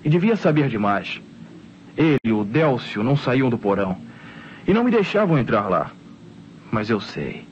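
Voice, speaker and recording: A man's voice: medium pitch, sing-song, normal speed, long pauses, natural voice. An actor playing an insistent, convinced boy with a Brazilian accent in an old radio drama. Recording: dull, noisy old radio recording.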